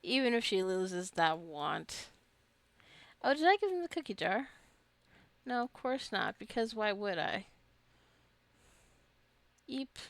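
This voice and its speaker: Slow-paced, creaky-voiced woman gamer. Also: mid-range voice, sing-song, no echo. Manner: chatty, thinking aloud to herself and viewers. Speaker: gaming video host. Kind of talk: casual rambling gameplay commentary.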